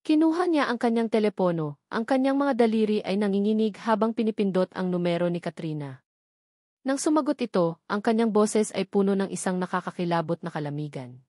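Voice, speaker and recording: A woman's voice: mid-range voice, animated, fairly quick, mellow, no room sound. Dry-sounding woman narrating a dramatic story.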